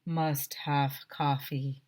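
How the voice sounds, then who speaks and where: A woman voicing a dazed, desperate zombie-like teacher in a storybook, groaning and mumbling slowly. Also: a bass voice, little pitch movement, a little mellow, pitched below the performer's own voice, exaggerated voice. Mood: dazed craving.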